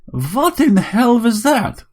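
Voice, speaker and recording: A man's voice: very high-pitched, sing-song, slow pace, darkish tone, voice pushed up, heavily acted. A man voicing a small, menacing vampire robot in an audiobook story, theatrical and gloating. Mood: indignant.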